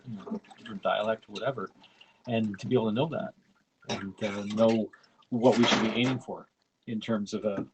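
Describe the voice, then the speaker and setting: Low-voiced Canadian man chatting casually. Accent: small-town Ontario Canadian. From Ontario, Canada. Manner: rambling, with many fillers and restarts. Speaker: livestream host. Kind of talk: casual livestream chat. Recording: loud background noise.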